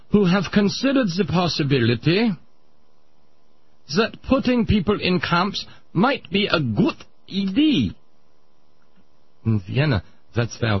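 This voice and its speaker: A man voicing a pompous old male Viennese psychoanalyst, lecturing in a smug, drawn-out way. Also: a little high, expressive, slightly rough, highs cut off, full character voice.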